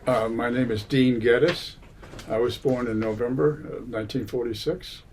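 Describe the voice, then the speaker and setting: A man in his seventies from Massachusetts, giving an oral history interview in a library, unhurried and full of hesitations. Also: medium pitch, steady tone, a little mellow, slight background noise, low-quality recording. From Massachusetts, USA. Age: 70s. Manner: unhurried, many hesitations and fillers. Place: public library.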